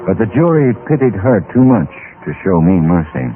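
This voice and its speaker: An actor playing a guilty, nervous husband, flustered and remorseful, with agitated delivery in old-time radio drama style. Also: male voice, sits low, sing-song, moderate pace. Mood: rueful. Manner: stammering, agitated. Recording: noisy, tinny old radio recording.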